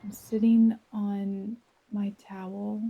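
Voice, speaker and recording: A woman narrating a first-person guided visualization in a soft, breathy voice, gentle and dreamy, with long unhurried pauses. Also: medium pitch, flat delivery, narrow, tinny audio.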